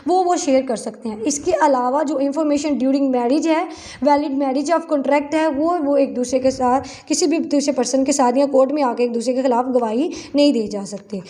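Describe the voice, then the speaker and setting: A woman's voice: high-pitched, darkish tone. A Pakistani woman giving an explanatory law lecture, reading passages aloud and rephrasing them to clarify in an instructional manner.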